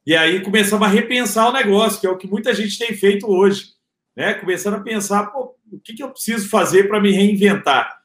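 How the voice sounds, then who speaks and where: A man in his thirties with a Rio de Janeiro accent talks loosely and casually, full of slang and fillers. His voice sits a bit high for a man, and the room around him echoes. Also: brisk. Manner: relaxed slangy talk with filler words. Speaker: entrepreneur guest. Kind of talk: casual interview storytelling.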